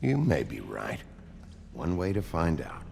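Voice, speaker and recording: An actor playing a gruff, brooding detective in a video game, speaking in a terse, deadpan way with a gravelly voice. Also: male voice, measured pace, a little hiss or hum, exaggerated voice. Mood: matter-of-fact. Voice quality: very deep, gravelly. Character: gruff, brooding male detective with a keen nose. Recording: loud music under the voice.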